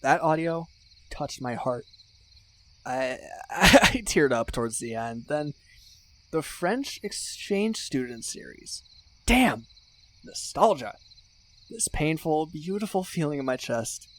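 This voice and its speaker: A man playing an excitable, sentimental best friend in a casual ASMR roleplay, speaking effusively with mock-serious exaggeration. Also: on the high side, slow, pauses often. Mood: wistful, gushing. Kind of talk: ASMR roleplay acting, casual one-sided chat.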